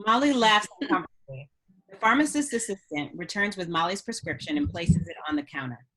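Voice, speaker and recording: Female narrator for screenplay table read, somewhat low voice, scripted scene descriptions. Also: lively intonation, a little fast, a bit bright, slightly rough, low-quality recording. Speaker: stage-directions narrator.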